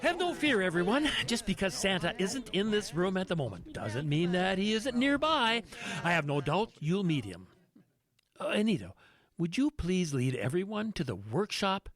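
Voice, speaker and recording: An actor playing a greedy, callous travel agent in an audio drama, with a smug, theatrical manner. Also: male voice, sits high, very animated, moderate pace, fairly bright tone. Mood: falsely friendly. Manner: theatrical, muttering schemes to himself.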